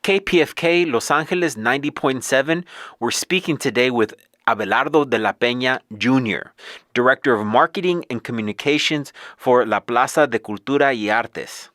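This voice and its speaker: A man's voice: medium pitch, normal speed, a bit bright, no echo, studio quality. A man hosting a radio interview show, reading introductions with some stumbles and repeated words.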